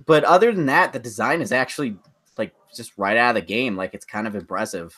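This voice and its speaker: A man's voice: medium pitch, brisk, bright, forceful tone. A man co-hosting a podcast, chatting casually about movies in a rambling, opinionated way. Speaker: podcast co-host.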